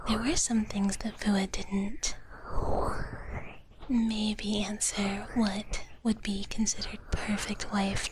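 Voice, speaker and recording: A woman whispering softly and breathily in a gentle, cutesy ASMR live stream, chatting casually to viewers and softly repeating her phrases. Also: medium pitch, low-quality recording. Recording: clear steady background noise.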